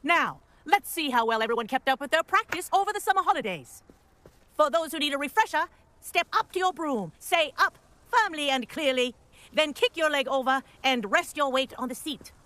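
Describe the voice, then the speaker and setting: A woman playing a strict, brisk wizard-school flying instructor in a video game, firm and formal like a schoolteacher. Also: sits high, lively intonation, pushed and bright, no echo. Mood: brisk, instructive. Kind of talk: video game character acting.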